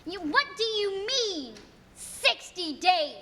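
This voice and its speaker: A woman's voice: extremely high pitch, very animated, slow pace, full character voice. A young woman acting an angry, demanding small-town shareholder in a stage radio play, indignant and insistent. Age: teens or young adult. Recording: reverberant hall with crowd behind.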